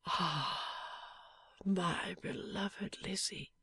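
A woman voicing a badly wounded young husband who speaks in a faint, halting, affectionate whisper in an audiobook. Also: fairly low pitch, bright, forceful tone, slightly rough, voice pushed up, heavily acted. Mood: faint, tender. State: weak from injury. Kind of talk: audiobook character voice.

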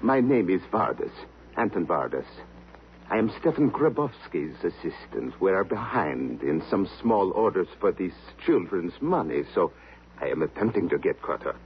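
Shifty printer's assistant: fairly low, animated, formal. Muffled vintage radio drama. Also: male voice, normal speed. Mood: smooth explaining. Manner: formal, careful wording. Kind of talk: 1950s radio drama acting. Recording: muffled old radio recording, faint hiss.

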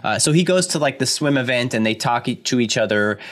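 A man's voice: medium pitch, expressive, fairly quick, a bit bright. A man in his late thirties co-hosting a podcast, recapping a film plot in a laid-back way full of fillers. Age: 30s. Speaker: podcast co-host.

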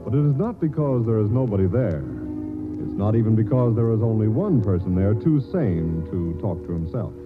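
Wry male narrator, low and lively, thin old-radio sound with music under. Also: narrow, tinny audio. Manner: wry, measured storytelling.

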